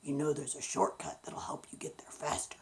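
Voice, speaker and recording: A woman's voice: low-pitched, completely flat delivery, whispered, voice pushed down, natural voice. A woman voicing a sly, coaxing male big bad wolf in a bedtime story. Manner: coaxing, drawn-out storybook villain.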